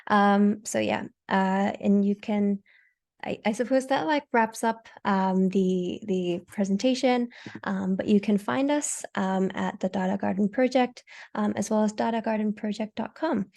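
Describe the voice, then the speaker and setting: A woman's voice: medium pitch, unhurried, vocal fry, darkish tone. A woman giving an online presentation over a video call, hesitant and full of fillers and restarts. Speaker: fellowship presenter.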